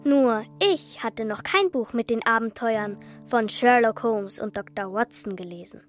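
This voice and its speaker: A woman's voice: fairly high pitch, unhurried, lightly acted. A woman playing a curious, playful girl of twelve in a radio drama, with youthful, eager storytelling. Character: bright twelve-year-old girl, curious, playful, cheeky. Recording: studio radio drama with music.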